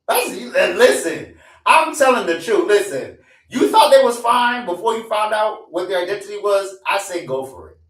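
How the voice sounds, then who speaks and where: A man's voice: high-pitched, animated, normal speed, recorded from a distance. A man in his twenties hosting a live stream in a casual, profane rant that repeats lines for emphasis. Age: 20s. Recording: echoey room, away from mic.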